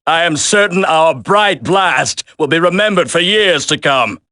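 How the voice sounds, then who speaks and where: An actor playing a grim, principled terrorist colonel in a video game, speaking in solemn, measured speeches. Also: male voice, a little high, lively intonation, bright, forceful tone, no room sound, studio quality. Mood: solemn, resolute. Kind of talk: video game cutscene acting.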